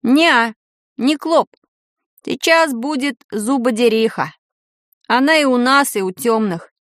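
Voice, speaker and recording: A man's voice: very high voice, slow, fairly bright tone, no room sound, clean studio sound, exaggerated voice. A woman voicing a curious, grumbling schoolboy wizard in a storybook, in an informal way. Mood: uneasy.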